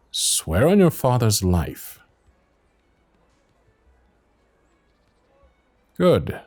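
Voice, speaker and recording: A man's voice: on the low side, a little mellow, breathy edge, heavily acted. A man playing a loyal, protective royal knight in a fantasy ASMR roleplay, speaking in courtly phrases, both teasing and earnest. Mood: resigned, firm. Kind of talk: ASMR roleplay acting, fantasy audio drama.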